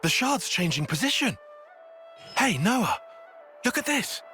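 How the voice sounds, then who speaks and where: A man's voice: sits high, big pitch swings, measured pace, sharp tone, soft background music, exaggerated voice. A man voicing a cautious, analytical young soldier in a video game, precise and serious in his speech. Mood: puzzled. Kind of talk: video game cutscene voice acting.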